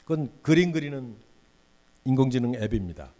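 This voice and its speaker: A man's voice: mid-range voice, expressive, brisk, dark tone. A man in his sixties giving a guest lecture in a university hall, chatty and often putting questions to the audience. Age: 60s.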